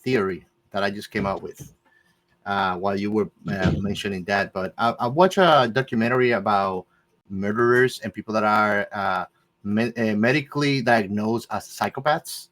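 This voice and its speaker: A man's voice: on the low side, moderate pace, a bit bright. A man on a discussion podcast panel, talking casually and searching for his words as he thinks aloud.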